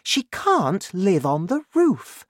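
A woman's voice: mid-range voice, huge pitch swings, slow pace, no room sound, studio quality, voice pushed up, exaggerated voice. A woman voicing a practical, dutiful mother in a children's storybook, speaking firmly. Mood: insistent.

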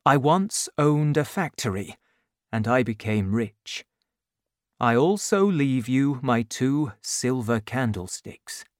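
A man doing a gentle, dying old man. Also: mid-range voice, lively intonation, slow, no echo, studio quality. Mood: tender. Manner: quiet, soft, fading to a whisper.

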